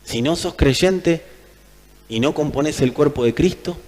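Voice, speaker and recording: Argentine guy preaching, kinda high voice, really emphatic, repeats stuff, big echoey hall. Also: a little slow, a little mellow. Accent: Argentine Spanish. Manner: emphatic, repeats phrases for effect. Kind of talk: sermon. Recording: long hall reverb.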